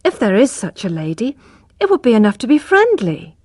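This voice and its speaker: A woman voicing a gentle, worried young country girl who answers softly. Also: a little high, very animated, a little fast, no room sound, clean studio sound. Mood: subdued. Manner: soft, subdued replies.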